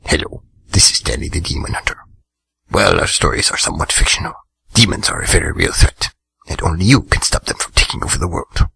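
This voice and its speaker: A man's voice: very deep voice, expressive, a little fast, vocal fry, bright, forceful tone, breathy edge, full character voice, low-quality recording. A man performing radio theatre as a vengeful, obsessed little monkey demon hunter, narrating with grave drama. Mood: earnest warning.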